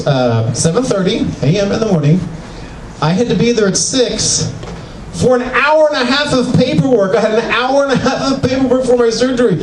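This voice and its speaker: An older man doing a self-mocking, animated stand-up comedy set in a small venue. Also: sits high, background noise. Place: small venue with live audience. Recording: echoey, distant club recording with crowd.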